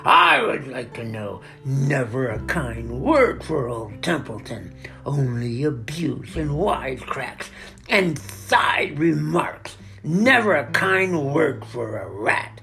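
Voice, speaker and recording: A crabby old rat done by a woman narrator, loud and growling. He complains with heavy sarcasm and self-pity in swinging, mocking phrases, with music in the recording. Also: a little high, wildly swooping pitch, slow pace, pitched above the performer's own voice, heavily acted. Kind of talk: dramatic storybook character reading.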